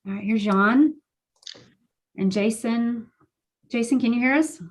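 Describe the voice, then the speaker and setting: A woman moderating an online public meeting, briskly bringing speakers into the call. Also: fairly high pitch. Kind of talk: procedural meeting talk. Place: remote video call.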